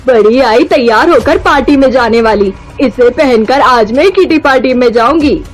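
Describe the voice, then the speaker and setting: Casting: high-pitched, short-tempered middle-aged mother-in-law, acted by a woman, taunting and theatrical, for a melodramatic cartoon moral story. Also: normal speed, faint music behind, lightly acted. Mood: scornful.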